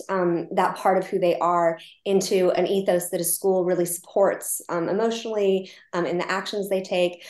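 An American woman, a guest on a podcast interview, speaking thoughtfully with frequent pauses and ums. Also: fairly low pitch, darkish tone, roomy sound. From United States. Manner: thoughtful, hesitant, many ums. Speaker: guest, founder of climate psychology group.

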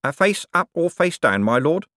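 A man reading aloud and voicing a quiet, deferential secretary who murmurs his replies. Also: fairly high pitch, very animated, moderate pace, dry recording, studio quality, voice pushed up. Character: deferential, unimaginative male secretary.

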